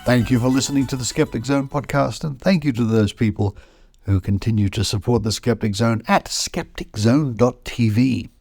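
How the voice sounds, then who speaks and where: A man hosting a podcast, reading scripted material in a measured, theatrical way. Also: medium pitch, gravelly, a little mellow, soft background music. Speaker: podcast host. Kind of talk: scripted podcast narration, reading old newspaper pieces.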